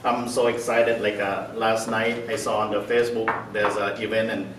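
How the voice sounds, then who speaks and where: A man's voice: lowish voice, little pitch movement, normal speed. A man from northern Thailand with a Thai accent gives an informal, self-deprecating and humorous talk to a small group. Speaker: guest speaker, restaurant owner. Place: indoor meetup room. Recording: echoey room, distant mic, faint noise.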